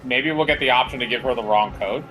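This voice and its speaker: A man's voice: mid-range voice, quick pace, over music, rough recording. A man casually chatting as co-commentator on a game stream. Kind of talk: casual gameplay chat.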